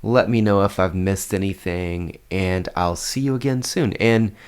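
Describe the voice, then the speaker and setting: A man's voice: sits low, unhurried, a little mellow, clean tone. A congested man, casually explaining a game update as a strategy guide host, rambling with many fillers.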